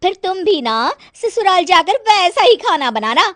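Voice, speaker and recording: A woman voicing a bossy, fault-finding young daughter-in-law who scolds in a shrill, loud voice in a storybook cartoon. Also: big pitch swings, unhurried, no echo, clean studio sound, heavily acted. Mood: boastful.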